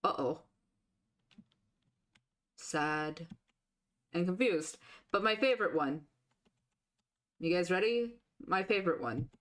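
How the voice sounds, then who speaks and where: A woman streamer chatting casually and rambling with filler restarts, her voice deepened by a sore throat. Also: animated, slow pace, long pauses. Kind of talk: casual live-stream chat.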